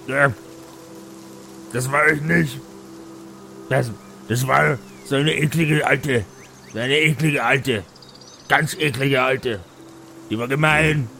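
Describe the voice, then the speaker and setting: Eccentric hermit druid played by a man, fantasy audio play. Forceful tone, slow overall, muddled, stammering, excitable repetition. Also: medium pitch, vocal fry, slight background noise, exaggerated voice. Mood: aggrieved. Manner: stammering, repeats words and phrases excitedly. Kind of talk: pen-and-paper audio play role-playing.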